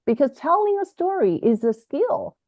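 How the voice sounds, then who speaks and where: A woman's voice: sits high, normal speed, dry recording. A woman whose first language is Chinese, a guest language educator explaining ideas in a teacherly way on a video call, often restarting phrases. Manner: animated, self-correcting, teacherly. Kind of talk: explanatory interview talk. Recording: dry, slightly dull lo-fi audio.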